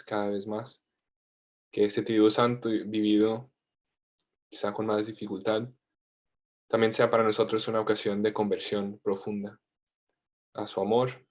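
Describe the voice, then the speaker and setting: A priest in his thirties with a mixed Latin American and Spanish accent, praying and then talking gently and informally over a video call. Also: fairly low pitch. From United States, family from Colombia. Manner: gentle, informal, with frequent fillers.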